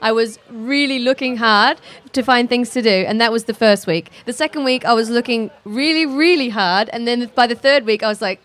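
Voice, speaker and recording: A woman radio host, relaxed and chatty, interviewing a guest. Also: a little high.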